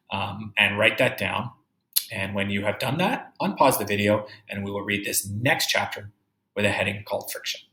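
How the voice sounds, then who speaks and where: A man teaching young children through a read-aloud, reading deliberately and musing aloud with questions for his pupils. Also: on the low side, sharp tone. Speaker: third-grade teacher. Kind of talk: read-aloud lesson with think-aloud commentary. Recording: roomy, echoey indoor sound.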